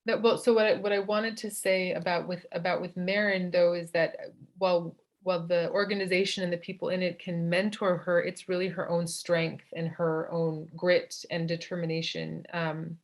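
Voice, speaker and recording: Earnest lower-voiced woman guest. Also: level tone, moderate pace. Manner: earnest, thoughtful, with restarts and stumbles. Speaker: nonprofit director, guest. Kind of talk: casual interview chat.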